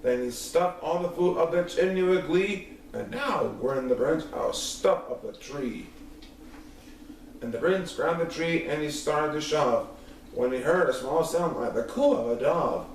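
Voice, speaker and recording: A man's voice: fairly high pitch, measured pace. Man reading a storybook in an echoey room. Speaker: storybook reader. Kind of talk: expressive read-aloud children's story narration in rhyme. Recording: very echoey room, distant mic, faint noise.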